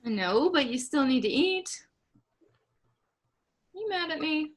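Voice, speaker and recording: A woman voices a gentle, coaxing Kentucky farm wife who looks after everyone. Her voice sits somewhat high, in a staged reading. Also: expressive, takes it slowly. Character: caring farm wife and aunt Candace.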